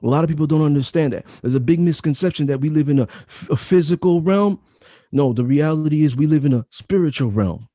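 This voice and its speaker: A man with a deep, mellow, dark voice talks as a guest in a casual interview. He is emphatic and slangy and repeats phrases for weight. His voice sounds dry and close. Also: fairly quick, dry recording. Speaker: author and guest. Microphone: home mic setup.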